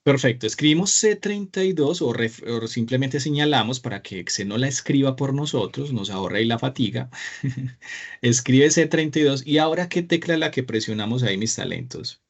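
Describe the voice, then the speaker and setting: A man's voice: medium pitch, expressive, moderate pace. A man teaching a live online spreadsheet class, patient and encouraging, often asking the class questions. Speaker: Excel teacher. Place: small room indoors. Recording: slightly dull lo-fi sound.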